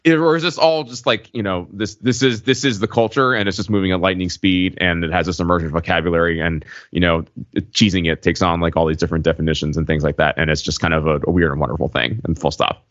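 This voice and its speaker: A man co-hosting a podcast in casual conversation, halting and circling his point with lots of "like" and "you know". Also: low voice, fairly even intonation, a bit bright, no echo. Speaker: podcast co-host. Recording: muffled, lo-fi voice recording.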